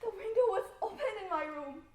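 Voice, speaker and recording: A woman's voice: extremely high pitch, lively intonation, fairly quick, a little mellow, echoey room. A young woman acting a nervous, frightened young lady in a student stage murder mystery. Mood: panicked. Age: young adult.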